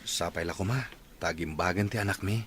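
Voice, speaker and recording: An actor playing a tired, dutiful village father and son in an Ilocano radio drama, with an Ilocano accent and a husky voice. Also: deep voice, a little fast, fairly bright tone. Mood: subdued.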